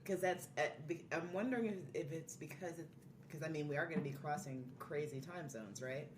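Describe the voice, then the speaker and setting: A woman's voice: low-pitched, a little fast. A woman guest chatting casually on a podcast. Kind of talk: casual chat. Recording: echoey, distant mic, faint room noise.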